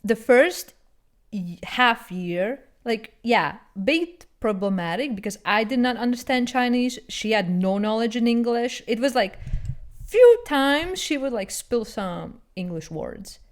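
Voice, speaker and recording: Halting, word-searching woman podcaster. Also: on the high side, animated. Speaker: podcast co-host. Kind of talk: casual chat.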